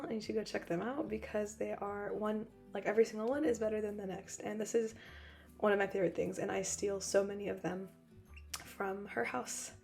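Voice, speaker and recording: A young woman with a hoarse voice, still recovering from losing it, narrating a cooking video in a chatty, joking way with frequent laughs. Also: medium pitch, darkish tone. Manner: chatty, joking, laughs often, rambling asides. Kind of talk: casual voice-over narration.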